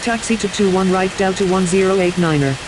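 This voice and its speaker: A woman's voice: medium pitch, fairly quick. A woman speaking as an airline pilot over aviation radio, giving clipped, formulaic calls and readbacks. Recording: hissy, noisy radio transmission.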